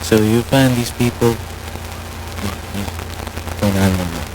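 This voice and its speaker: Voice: mid-range voice, takes it slowly, noisy background, lightly acted. Someone acting as an emergency broadcast announcer, reporting on missing people in an alert. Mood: urgent.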